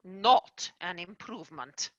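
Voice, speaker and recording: A woman's voice: fairly low pitch, slow, no echo, lo-fi sound. A woman playing a stern female fairy company boss in a stage play, weary, exasperated and prone to sighing. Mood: dry. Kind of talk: amateur stage-play acting.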